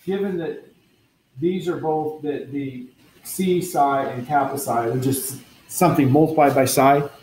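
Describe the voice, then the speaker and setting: Guy teaching a physics class, talks through the math out loud, fixes his own slips, chats with the students. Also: on the high side, unhurried, slight background noise, rough recording. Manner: thinks aloud, self-correcting, chatty asides to students. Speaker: physics lecturer. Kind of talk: university lecture at a board. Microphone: lecture recording.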